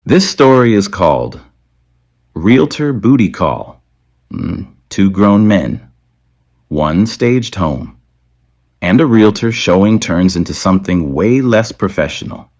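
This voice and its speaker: Male narrator, low pitch, relaxed scripted storytelling, dry close sound. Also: slow, dry recording.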